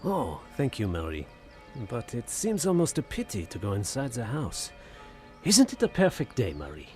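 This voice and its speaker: A genteel nineteenth-century French gentleman in an audio drama, speaking over prominent music. His mid-pitched delivery is florid and poetic, with theatrical effusiveness. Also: huge pitch swings, normal speed. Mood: wistful delight. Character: genteel, poetic Frenchman of the 1880s, increasingly troubled. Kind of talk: audio drama acting, diary narration and dialogue. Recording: music behind the voice.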